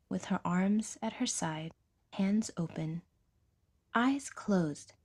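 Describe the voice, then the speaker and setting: A woman reading scripted fiction as an audiodrama narrator, with a measured, literary delivery. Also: mid-range voice, darkish tone, husky.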